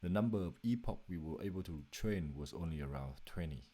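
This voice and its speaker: A man's voice: low voice, expressive, fairly quick, dark tone, husky. A man reading a scripted narration for a slide presentation as its presenter.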